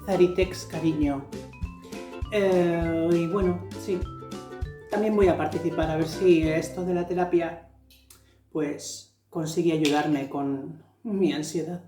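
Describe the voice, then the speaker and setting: A woman's voice: sits low, fairly even intonation, slow. Woman playing a dramatic, anxious woman. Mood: hesitant. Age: 30s. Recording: echoey room with music underneath.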